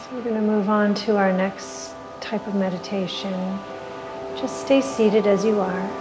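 A woman in her forties giving guided yoga and meditation instruction in a calm, soothing manner. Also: medium pitch, measured pace. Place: yoga studio indoors. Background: music.

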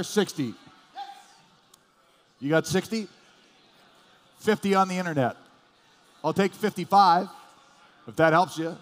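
High-voiced male auctioneer chanting in a hall. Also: fairly bright tone. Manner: repetitive bid calling, urging the bidders. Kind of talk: rapid-fire live car auction chant. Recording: hall PA with long reverb.

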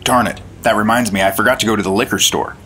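An actor playing an eager, earnest FBI agent in a 1950s-style radio drama, with music underneath. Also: male voice, lowish voice, fairly even intonation, quick pace, close to an everyday voice. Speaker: Agent Cooper.

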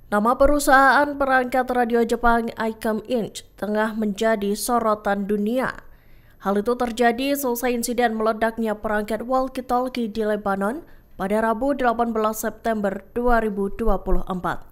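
News voice-over: woman, slightly high pitch, formal and measured scripted read. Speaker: news narrator.

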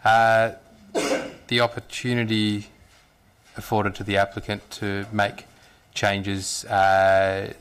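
A man speaking as a city councillor in a council chamber debate, halting, with many fillers and restarts, speaking into a desk microphone. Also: on the low side, monotone, fairly bright tone, smooth. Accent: Australian.

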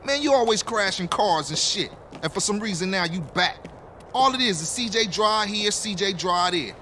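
An actor playing a hot-headed, contrary young gangster in a video game, brash and argumentative in street slang. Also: male voice, high voice, expressive, moderate pace, sharp tone, a little hiss or hum. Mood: scornful. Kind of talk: video game cutscene acting.

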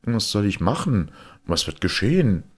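A put-upon young huntsman in a fairy tale , voiced by a man. He is earnest and plain-spoken, his husky voice lifted and animated. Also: fairly low pitch, moderate pace, voice pushed up. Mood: troubled.

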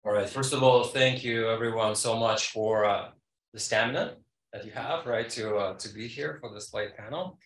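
A man's voice: fairly low pitch, moderate pace, a bit bright. A middle-aged man from Kazakhstan presenting at a conference, conversational, often checking in with the audience. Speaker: conference panelist. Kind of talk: academic presentation. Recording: echoey room, distant mic.

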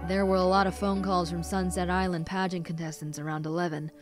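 A woman playing a calm, self-assured detective in an amateur animated movie. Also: fairly low pitch, a little fast, music in the background, close to an everyday voice. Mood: inquisitive.

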